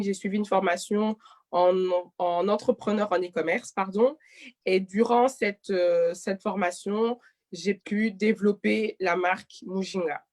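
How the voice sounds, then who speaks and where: A woman's voice: mid-range voice, darkish tone. A woman of twenty-seven from Belgium, giving conversational interview answers and often correcting herself mid-phrase. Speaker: interview guest, clothing e-shop founder.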